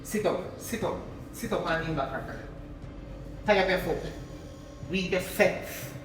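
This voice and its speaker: A woman's voice: lowish voice, unhurried, music in the background, natural voice, low-quality recording. A woman with a Jamaican accent playing a jealous, hot-tempered young woman who barks curt orders in patois. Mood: cold. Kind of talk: low-budget patois drama.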